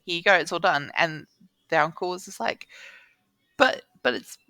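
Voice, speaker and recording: A woman's voice: lowish voice, moderate pace, pushed and bright, no echo. An Australian woman co-hosting a casual chat, telling anecdotes with informal, dry humour and acted-out quotes. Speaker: podcast co-host.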